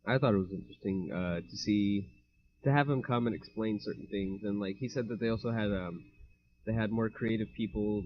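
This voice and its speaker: Easygoing teen male co-host, lowish voice, lo-fi sound. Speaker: podcast co-host. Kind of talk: casual chat. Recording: dull lo-fi mic.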